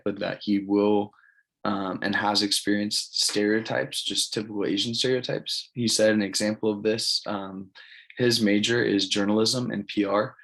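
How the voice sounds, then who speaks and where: A man's voice: on the low side, gravelly, a bit bright, poor audio. A man, giving an informal spoken presentation in a plain, matter-of-fact, casual way. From California, United States. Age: early 20s. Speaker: college student presenting an assignment.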